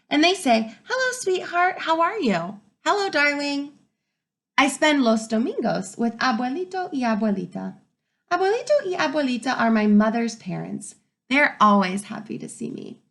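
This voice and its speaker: A woman kindergarten teacher reading a picture book aloud to young children in an expressive way, pausing to wonder aloud. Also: on the high side, creaky.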